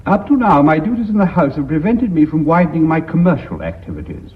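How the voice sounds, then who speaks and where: An actor playing a hesitant, self-important politician with a British accent, speaking formally and oratorically in a radio drama. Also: male voice, fairly high pitch, sing-song, quick pace. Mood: formal, aggrieved. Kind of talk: BBC radio drama acting. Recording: thin, phone-like old radio recording.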